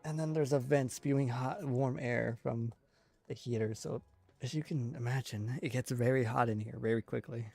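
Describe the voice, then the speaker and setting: An ordinary mid-pitched man chats his way through a game stream. His commentary is informal and slangy, drifting into rambling asides, with music faintly behind him in a small bedroom. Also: fairly even intonation, normal speed, creaky, darkish tone, slightly rough. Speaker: game livestreamer. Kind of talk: casual gameplay commentary, reading dialogue aloud.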